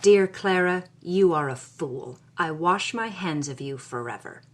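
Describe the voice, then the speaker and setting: A haughty, vindictive older matriarch, played by a woman, speaks in a low, husky voice that swoops with menace. It is a cold, emphatic radio drama monologue. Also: lively intonation, unhurried. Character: cold, vindictive older wealthy grandmother reading her threatening letter.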